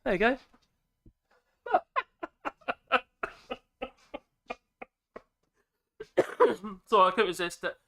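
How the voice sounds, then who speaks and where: A man's voice: high-pitched, wildly swooping pitch, extremely slow, pauses often, fairly bright tone, slight background noise. A middle-aged man with a head cold, hosting a woodworking vlog in a rambling, chatty way with self-deprecating jokes, recorded in his home workshop on a mic hung from the ceiling. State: congested with a cold. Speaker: woodworking channel host. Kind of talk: casual workshop vlog chat. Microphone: microphone hung from a ceiling clamp.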